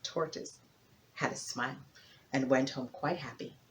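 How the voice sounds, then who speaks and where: A woman narrating a folktale as a storyteller, with theatrical emphasis and dramatic pauses. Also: a bass voice, fairly even intonation, slight background noise.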